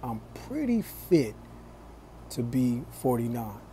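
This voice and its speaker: Slow, mellow-voiced man in his forties. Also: medium pitch, big pitch swings, slight background noise. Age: 40s. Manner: slow, deliberate, preachy. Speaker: vlogger. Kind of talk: casual talk to camera. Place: small room indoors.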